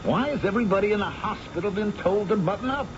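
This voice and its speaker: A man's voice: high voice, fast talker, lightly acted. Probing male reporter, played by an actor, muffled recording. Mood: probing, insistent. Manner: insistent, questioning. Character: probing male investigative reporter, persistent, smooth-talking. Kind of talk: old-time radio drama acting. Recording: muffled, noisy vintage radio drama recording.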